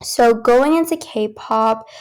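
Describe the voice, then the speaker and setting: Bright-pitched laughing woman guest. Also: takes it slowly. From United States. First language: Cantonese. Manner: cheerful, laughs often, casual slang. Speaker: podcast guest. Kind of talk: casual podcast chat.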